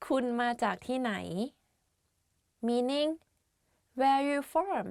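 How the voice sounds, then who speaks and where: A woman's voice: high voice, fast talker, darkish tone, clear, resonant tone, no echo, studio quality. A woman with a Thai accent, teaching beginner phrases in a chatty way, often saying "like" and laughing. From Thailand. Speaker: language teacher. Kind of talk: casual language lesson.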